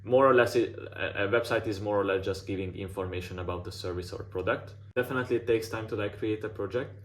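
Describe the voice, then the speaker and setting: A man's voice: fairly low pitch, quick pace. A man who is not a native English speaker, giving casual portfolio feedback as a mentor with lots of "like" fillers and restarts.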